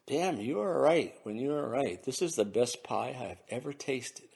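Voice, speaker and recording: Casting: male reader as troubled little grifter, guarded and hesitant, quiet delivery, dark husky tone. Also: animated, a little slow, full character voice. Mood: earnest, quiet. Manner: subdued, hesitant, low-voiced. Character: small, weary, secretive grifter, troubled and guarded. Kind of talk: dramatised short-story reading.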